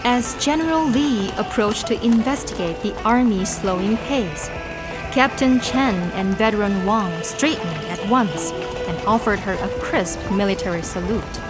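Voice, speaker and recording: A woman narrating a scripted drama in a measured, solemn storytelling manner. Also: mid-range voice, music in the background.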